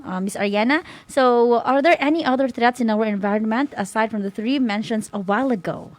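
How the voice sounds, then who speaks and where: A woman's voice: fairly high pitch, a little fast, dry recording. A woman with a Filipino accent co-hosting a live session in a casual way. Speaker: co-host.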